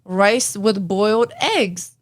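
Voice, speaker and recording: A young woman co-hosting a casual podcast, blunt and animated, swearing freely as she talks. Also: mid-range voice, takes it slowly. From Cambodian-American family. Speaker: podcast co-host. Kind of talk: casual chat.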